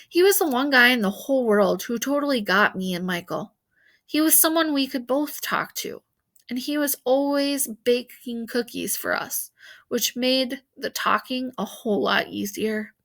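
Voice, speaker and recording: A woman reading a children's novel aloud as an expressive storyteller, narrating in the first person. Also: fairly high pitch.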